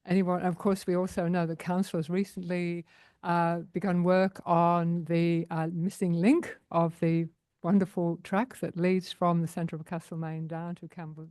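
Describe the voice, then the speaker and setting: Earnest woman, dark dry voice. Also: fairly low pitch, dry recording. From Australia. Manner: earnest, unhurried, with many fillers. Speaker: community group president. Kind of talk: public comment at council meeting.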